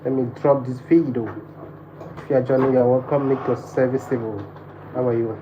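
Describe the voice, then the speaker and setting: A man's voice: medium pitch, steady tone, brisk. Nigerian guy doing a live stream, chatty, says stuff over and over, kinda muffled audio with some noise behind. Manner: repeats phrases insistently. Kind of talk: casual livestream chat, greeting viewers.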